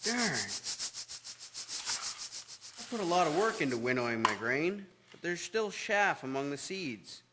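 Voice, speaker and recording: A man's voice: on the high side, very animated, a little slow, pauses often. A man playing a weary, thrifty farmer in a period radio drama, grumbling and plain-spoken. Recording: very echoey, noisy, rustling background.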